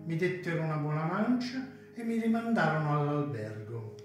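A man acts out a chatty hotel waiter with a high voice and a teasing, theatrical manner. He speaks radio-play style in a strongly reverberant room, faint music behind. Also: sing-song, moderate pace. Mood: chatty, confiding. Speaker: actor in a radio drama. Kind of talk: radio drama acting.

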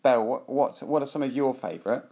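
A man with a British accent hosting a casual family podcast as a father, gently prompting his children with questions. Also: medium pitch, fast.

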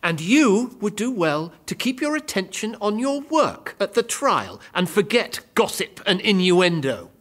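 A man playing a scheming, authoritarian bishop in an audio drama, imperious and smoothly formal. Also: sits high, big pitch swings, a little slow, few pauses, a bit bright, heavily acted. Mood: controlling. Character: scheming, authoritarian male bishop and trial judge.

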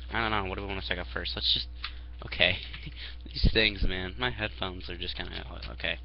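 A man's voice: deep voice, creaky, a little hiss or hum. A young man vlogging casually from a small room into a headset mic, rambling and hesitant with lots of fillers. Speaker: video creator. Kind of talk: casual rambling vlog to camera. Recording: dull lo-fi headset audio.

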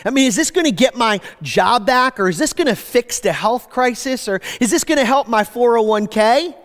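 A man in his forties preaching a sermon from a church stage, animated, his voice dropping low at the ends of phrases. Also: normal speed, big-room sound. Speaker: pastor. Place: church stage with screens, indoors.

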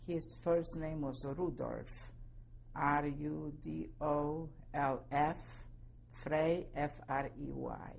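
A woman in her seventies from Poland, giving oral history testimony slowly and carefully, spelling out names. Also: low-pitched, level tone, creaky, a little mellow. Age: 70s. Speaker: interviewee.

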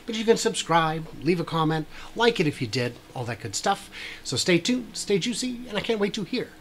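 A man's voice: high voice, sing-song, fairly quick, fairly bright tone, a little hiss or hum. A man in his forties giving casual, wry commentary over drone footage as a YouTube host, with frequent filler words. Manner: chatty, wry asides, many "uh" fillers. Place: small room with a brick wall.

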